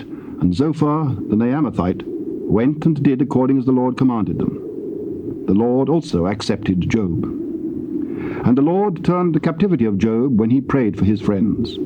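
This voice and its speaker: A man's voice: mid-range voice, animated. Formal male narrator, noisy tape. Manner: measured, formal storytelling. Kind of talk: scripted scripture narration. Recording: dull tape with background noise.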